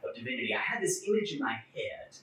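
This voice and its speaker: A man with an occasional stutter giving a personal, sermon-like talk as clergy, in measured short phrases with deliberate pauses. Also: medium pitch, sing-song, bright, forceful tone, slight background noise. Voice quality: stutter, occasional broken fluency. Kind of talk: personal testimony sermon at a church forum. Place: echoey indoor church forum space. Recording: echoey room, distant microphone.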